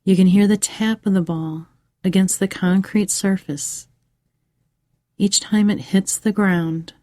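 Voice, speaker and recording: Woman, mellow relaxed tone, unhurried soothing pauses, scripted children's meditation narrator, dry sound. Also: medium pitch, no echo. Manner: slow, measured, soothing delivery with pauses.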